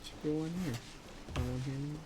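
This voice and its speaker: A man's voice: a little high, steady tone, fast, noisy background. A man giving casual gameplay commentary, muttering to himself and swearing casually. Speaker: gamer making a playthrough video. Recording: voice over loud game music. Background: loud video game music.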